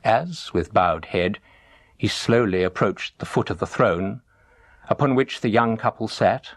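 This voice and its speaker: A man reading a traditional tale as a storyteller, deliberately, phrase by phrase. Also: on the low side, no room sound. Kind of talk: scripted narration of teaching tales.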